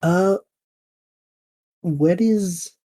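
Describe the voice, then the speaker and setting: A man's voice: sits high, drawn-out words, mellow, dry recording. A man hosting a casual interview, talking in an enthusiastic and thoughtful manner. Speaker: host and audio fiction creator.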